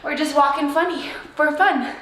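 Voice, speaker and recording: A young woman with a raspy, hoarse voice leads a home workout, bubbly and playful, often giggling between cues. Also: high voice, moderate pace, fairly bright tone. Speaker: fitness coach. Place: apartment room. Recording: echoey room, distant from mic.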